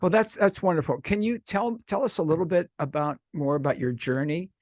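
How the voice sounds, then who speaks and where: A man's voice: fairly high pitch, a little fast, a little mellow, no room sound, studio quality. A man hosting a radio show interview, effusive and rambling, often restarting his sentences.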